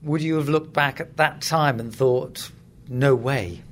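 A man interviewing someone conversationally, putting articulate, probing questions in a measured way. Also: mid-range voice, slight background noise. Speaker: interviewer, sports journalist.

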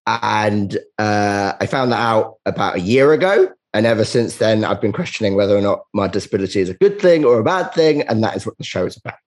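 Low-voiced candid male comedian chatting. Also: lively intonation, brisk, hardly any pauses. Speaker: stand-up comedian, interview guest. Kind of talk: casual anecdotal interview chat.

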